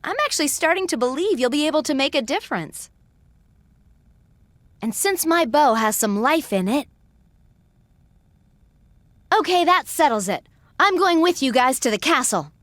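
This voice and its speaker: A woman's voice: high voice, expressive, moderate pace, long pauses, fairly bright tone, no echo. A young woman acting a spirited, straight-talking goatherd in a video game cutscene. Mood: breezy, confident.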